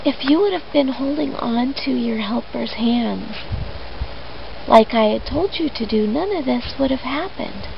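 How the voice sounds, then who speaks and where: A woman plays a kind, earnest shepherd from a storybook, caring yet gently firm. The words come slowly, in a slightly high voice on a dull recording. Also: vocal fry. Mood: gently reproving. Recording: dull lo-fi recording with background noise.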